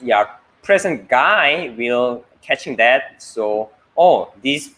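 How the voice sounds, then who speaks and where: A man who speaks Korean natively, co-hosting a casual language chat in halting English with many fillers. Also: medium pitch, lively intonation, a bit bright. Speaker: co-host teaching Korean.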